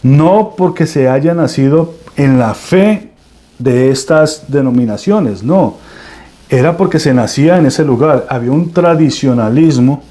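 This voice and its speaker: A man's voice: mid-range voice, lively intonation, a little slow, warm tone. A man from Colombia with a Colombian accent, preaching a sermon with emphatic swells and frequent restarts. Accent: Colombian Spanish.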